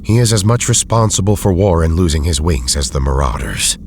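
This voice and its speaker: An actor playing an erudite, weary but loyal scientist in an audio drama, speaking in formal, deliberate phrases. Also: male voice, low voice, big pitch swings, creaky, darkish tone, a little hiss or hum, heavily acted. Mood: grave.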